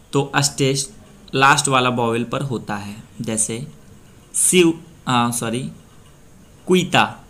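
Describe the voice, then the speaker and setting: A young man from Bihar, India, teaching a pronunciation lesson and repeating each word slowly for practice. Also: medium pitch, fairly bright tone. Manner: slow, repeats words drill-style. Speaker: language teacher.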